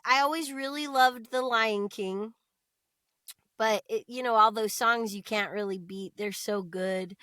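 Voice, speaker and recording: An American woman chatting casually as an interview guest, expressive and chatty. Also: medium pitch, clear, resonant tone, no room sound, studio quality. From United States.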